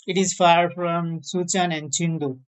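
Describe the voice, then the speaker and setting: A man's voice: on the high side, steady tone, unhurried, clear, resonant tone. A young man from Nepal, hosting a casual video chat in simple, broken English with emphatic bursts. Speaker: channel host.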